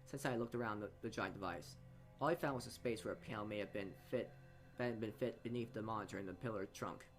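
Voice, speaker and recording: A man's voice: on the low side, fast, faint music behind. A man reading a story game aloud in informal narration, often stumbling and going back over his words. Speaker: player reading a visual novel aloud.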